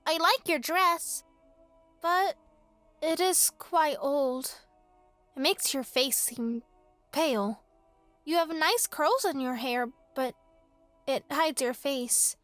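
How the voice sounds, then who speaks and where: Bratty young girl, high pitch, unhurried, blunt, vain, whiny, radio play, dry studio sound. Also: a bit bright, dry recording, soft background music. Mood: wary. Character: spoiled, vain, fussy little girl. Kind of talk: horror radio drama acting.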